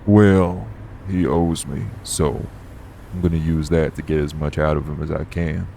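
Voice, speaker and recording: Guy doing a super deep gruff old supernatural dude, guarded n world weary, audio rp, bit of road noise behind him. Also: fairly even intonation, a little slow, warm tone, heavily acted. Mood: guarded, grim. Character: gruff, shady long-lived supernatural man with a past. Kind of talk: audio roleplay acting, urban fantasy drama. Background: vehicle on the road.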